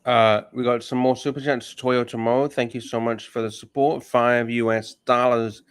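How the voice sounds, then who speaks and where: A man hosting a livestream, reading super chats aloud and chatting casually, animated and swearing. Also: mid-range voice, moderate pace, smooth, dry recording, studio quality. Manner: animated, swears, mocks and laughs. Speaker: livestream host.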